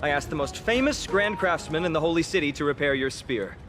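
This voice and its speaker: An actor playing a modest, duty-bound young warrior in a video game, speaking politely in measured, earnest phrases. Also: male voice, fairly high pitch, expressive, over music, natural voice. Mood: earnest, courteous.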